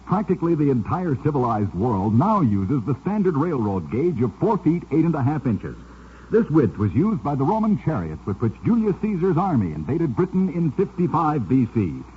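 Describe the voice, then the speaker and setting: A man's voice: on the high side, big pitch swings, normal speed, husky. A man narrating scripted curiosities for an old-time radio show, with a dramatic, emphatic storyteller delivery. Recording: dull, noisy vintage radio recording.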